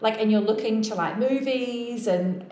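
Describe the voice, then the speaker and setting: A woman's voice: a little high, moderate pace, mellow. A woman with a New Zealand accent hosting a podcast, chatting casually and finishing her guest's sentences. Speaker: podcast host. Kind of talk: casual interview chat. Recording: echoey room.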